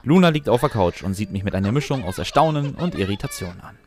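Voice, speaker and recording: A man's voice: fairly low pitch, expressive, fast, a little mellow. A young man reading a first-person fan fiction story as scripted narration with acted dialogue. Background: background music.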